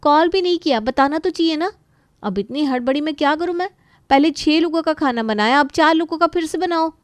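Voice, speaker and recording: A woman's voice: high-pitched, lively intonation, fairly quick, no echo, pitched above the performer's own voice. A woman voicing a young, overworked, resentful daughter-in-law who complains in exasperation in an Indian family story. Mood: exasperated complaining.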